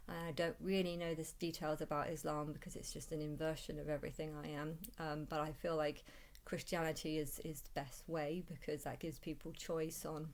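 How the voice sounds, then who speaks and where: A woman giving a rambling personal monologue, halting and often restarting phrases, with exasperation when she retells exchanges. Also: sits low, flat delivery. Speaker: vlogger.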